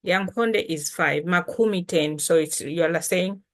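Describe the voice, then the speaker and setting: A woman from Malawi, whose first language is Chitumbuka, hosts a casual language lesson. She speaks slowly and patiently, in a fairly low voice, repeating each word and spelling it out. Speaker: language teacher and channel host.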